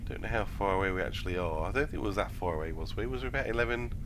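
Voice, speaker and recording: Low nasal man with a cold. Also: very fast. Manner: rambling, thinking aloud with fillers. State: has a head cold. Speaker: game streamer. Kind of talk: casual let's-play commentary. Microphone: desk microphone. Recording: steady background hiss.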